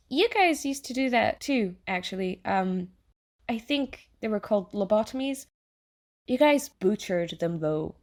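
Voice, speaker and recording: A woman playing a chatty, falsely friendly AI that lives in someone's mind, coaxing and quietly menacing in an audio theatre monologue. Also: a little high. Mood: breezy, teasing. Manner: chummy, casual, coaxing, passive-aggressive.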